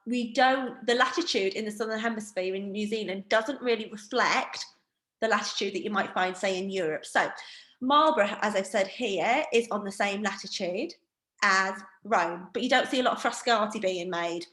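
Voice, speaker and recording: A woman's voice: medium pitch, moderate pace, roomy sound. Chatty woman with a Northeast English accent. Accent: Northeast England. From Northeast England. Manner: chatty, self-deprecating, lively. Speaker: wine educator. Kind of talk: online training presentation. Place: home room. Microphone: video call.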